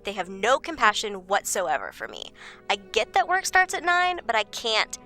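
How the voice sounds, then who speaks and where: A woman's voice: medium pitch, big pitch swings, moderate pace, sharp tone, faint music behind. An actress playing a whiny, self-pitying, defensive woman with a melodramatic, complaining manner in an audio drama. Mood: indignant, self-pitying.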